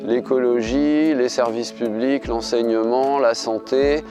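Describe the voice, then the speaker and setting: A man in his fifties making a steady, matter-of-fact campaign statement. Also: mid-range voice, measured pace, dull audio. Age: 50s. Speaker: retired trade unionist, election candidate. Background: music.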